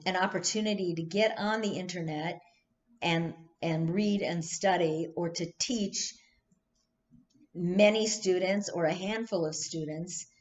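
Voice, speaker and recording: Woman, teacher, measured and unhurried, thoughtful with many ums, muffled recording. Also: fairly low pitch. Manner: slow, thoughtful, with frequent ums and restarts. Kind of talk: online text study lesson.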